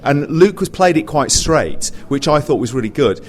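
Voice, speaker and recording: A man's voice: a little high, expressive, moderate pace. Hesitant, chatty British man with faint background noise. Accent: British English. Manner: hesitant, self-correcting, chatty. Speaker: pantomime writer and panelist. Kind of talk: informal panel discussion. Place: theatre, live panel after a show. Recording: live theatre recording with background noise.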